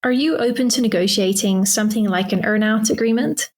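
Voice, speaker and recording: Woman host asking quick business questions, brisk and to the point. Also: medium pitch. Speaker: podcast host. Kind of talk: interview questions.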